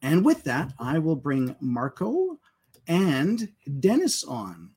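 A man's voice: fairly high pitch, wildly swooping pitch, slow pace, darkish tone, slightly rough. A man hosting a live webinar, introducing guests in a loose, friendly way with fillers. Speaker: webinar host.

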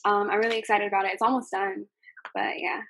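A young woman from the United States talks in a soft, gentle voice, full of ums and likes as she answers questions. Her voice sits at an ordinary pitch for a woman. The recording is dry, with little room sound. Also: steady tone, fast, no room sound, rough recording. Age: young adult. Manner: hesitant, many ums and likes. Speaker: singer being interviewed. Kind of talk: casual interview answers.

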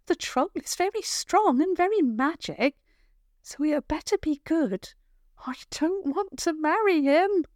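A woman voicing a brisk, confident young village girl in a children's story, matter-of-fact and a little bossy. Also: sits high, lively intonation, a little mellow, dry recording, studio quality, voice pushed up.

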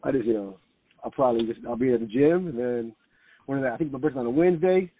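A man's voice: mid-range voice, animated, fast, narrow, tinny audio. A man in his late forties chatting casually as a comedian guest, informal and slangy, peppering his talk with "bro" and "man.". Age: late 40s. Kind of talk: casual conversational chat.

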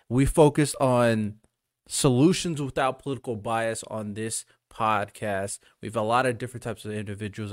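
Male podcast host, mid-pitched, informal and conversational with loose phrasing, recorded very dry. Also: no echo. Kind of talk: casual podcast introduction.

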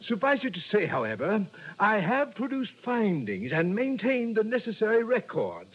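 An actor playing a pompous, condescending, imperious scientist in his sixties with a husky voice, in vintage radio drama style. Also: high voice, big pitch swings, moderate pace. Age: 60s. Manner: pompous, condescending, precise, pedantic. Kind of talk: early 1960s radio drama acting. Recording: dull vintage radio broadcast recording.

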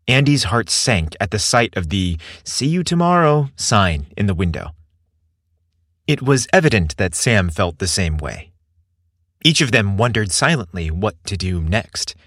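A man's voice: on the low side, a bit bright, no room sound, studio quality. A man reading a scripted story as its narrator.

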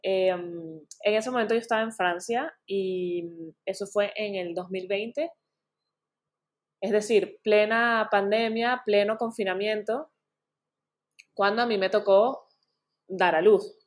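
A woman's voice: mid-range voice, steady tone, slow, long pauses. A woman, a naturopath guest, talking in a casual interview in an earnest way and often restarting her words.

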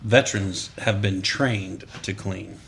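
A man, a military veteran, talking in casual chat with a stern, deep voice whose tone stays the same even when he is happy. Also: slow pace. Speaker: Marine veteran guest.

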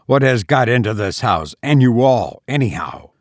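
A man reading aloud and voicing a gruff, resentful middle-aged father who speaks roughly. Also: medium pitch, animated, normal speed, dry recording, studio quality, natural voice. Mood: angry.

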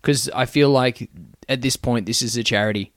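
A man's voice: mid-range voice, moderate pace, clean tone, no room sound, studio quality. A man with an Australian accent, a podcast guest telling jokey stories in casual chat, swearing freely. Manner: swearing, joking, storytelling.

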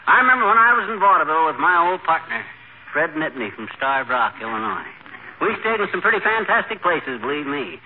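Bumbling braggart husband, blustering, lively voice, loud crackle of 1940s radio. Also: male voice, a little high, a little fast. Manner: blustering, boastful tales. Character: boastful bumbling husband, playing sick. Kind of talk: 1940s radio comedy acting. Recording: loud scratchy 1940s radio broadcast, phone-like.

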